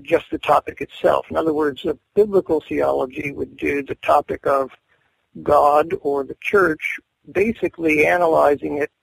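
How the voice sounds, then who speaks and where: A middle-aged man, a professor, answers interview questions in a measured, thoughtful way. His voice is deeply gravelly and husky, and he pauses often to work through his ideas. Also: fairly even intonation, no room sound, low-quality recording. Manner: measured, thoughtful, many fillers and restarts. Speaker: seminary professor, guest.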